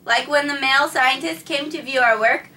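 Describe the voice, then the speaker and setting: A woman's voice: high-pitched, normal speed, sharp tone, a little hiss or hum. A woman narrating a scripted first-person history story, reading it plainly and earnestly. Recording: distant mic with faint background music.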